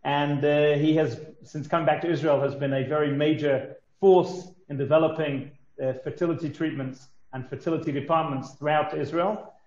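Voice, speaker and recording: A man giving a formal introduction as host of a conference, with halting, hesitant delivery. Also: a little high, fairly even intonation, darkish tone. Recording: echoey, slightly dull audio.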